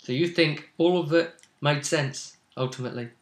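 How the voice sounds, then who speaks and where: A man hosting as game master, casually recapping a role-play session in an animated, jokey, theatrical way. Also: a little high, normal speed.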